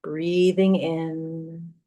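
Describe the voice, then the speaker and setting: Female meditation guide, slightly low register, unhurried and gentle, soothing instruction with long pauses. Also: level tone, muffled recording. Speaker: yoga teacher. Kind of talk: guided meditation, yoga nidra.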